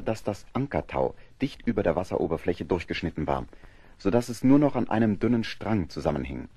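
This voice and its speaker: A man's voice: sits low, warm tone, husky, no echo. A man narrating a scripted historical adventure audio drama.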